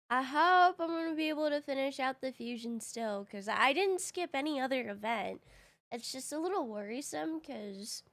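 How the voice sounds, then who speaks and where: A woman's voice: fairly high pitch, a bit bright. A woman live streaming a game in casual, slangy chat, her voice a little groggy from having recently woken up. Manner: slangy, informal.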